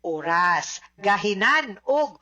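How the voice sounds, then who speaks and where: Female advice host, Cebuano Filipino accent, sharp bright delivery, explanatory with English mixed in, dull lo-fi recording. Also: mid-range voice, big pitch swings, slow. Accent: Filipino, Cebuano Bisaya. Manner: explanatory, code-switches into English, frequent checking tags. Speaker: legal advice host answering listener questions. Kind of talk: spoken advice, reading and answering questions.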